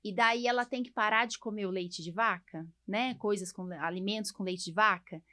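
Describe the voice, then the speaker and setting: A woman's voice: medium pitch, moderate pace, sharp tone. A Brazilian woman hosting a podcast as a doctor, explaining things colloquially and often checking for agreement. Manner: explanatory, colloquial, frequently seeking agreement with tags. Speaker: podcast host, allergist doctor. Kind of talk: conversational podcast discussion.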